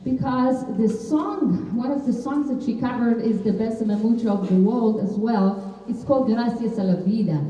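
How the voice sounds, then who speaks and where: A woman, hosting a live concert and introducing songs and composers in a relaxed, explanatory way. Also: fairly high pitch, moderate pace, few pauses. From Israel. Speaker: concert host and bandleader. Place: library concert hall. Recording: echoey hall, distant dull mic.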